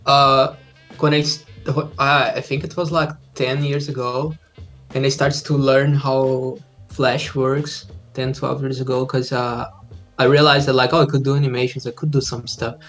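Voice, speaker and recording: A man's voice: medium pitch, level tone, moderate pace, fairly bright tone, faint music behind. Brazilian-raised man, filler-heavy chat. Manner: hesitant, many fillers, easygoing. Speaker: indie game developer guest. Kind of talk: casual interview chat. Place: home room, indoors. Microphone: laptop video call.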